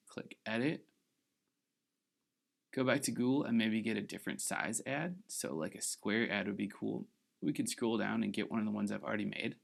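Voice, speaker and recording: A man hosting a screen-recorded software tutorial, giving upbeat, encouraging step-by-step instructions while slightly losing his voice. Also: fairly low pitch, creaky. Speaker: tutorial host.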